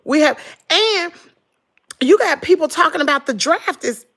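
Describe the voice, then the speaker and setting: A middle-aged woman with a Southern American accent, hoarse, chatting casually and gossiping with her viewers in a dramatic way. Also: high voice, lively intonation, a little slow, fairly bright tone. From Southern United States. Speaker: vlogger.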